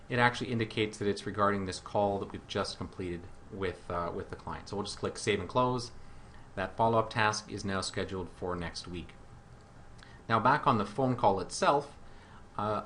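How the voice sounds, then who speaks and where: A man with a fairly low voice narrates a software tutorial. He explains things step by step in a conversational way, pausing hesitantly now and then. There is some faint noise in the recording. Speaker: software demo presenter.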